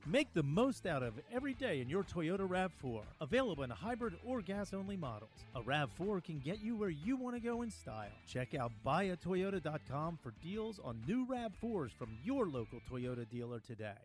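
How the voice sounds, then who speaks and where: A man's voice: high voice, sing-song, fairly quick, few pauses. A man reading scripted radio ads and promos in an upbeat, salesy way. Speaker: commercial and promo announcer. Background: music bed.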